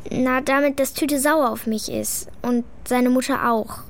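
Blunt, high-voiced schoolgirl. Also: fairly even intonation, measured pace, slight background noise. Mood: reluctant. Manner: colloquial, matter-of-fact, defiant. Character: blunt, cheeky schoolgirl telling her story reluctantly. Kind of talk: children's radio play acting.

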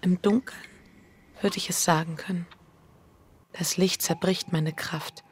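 An actress playing an anxious, guilt-ridden wife in a radio play, speaking introspectively in an intimate, often hushed way. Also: female voice, on the low side, steady tone, a little slow, breathy edge. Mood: tense, hushed. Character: anxious, guilt-ridden wife confessing her inner torment.

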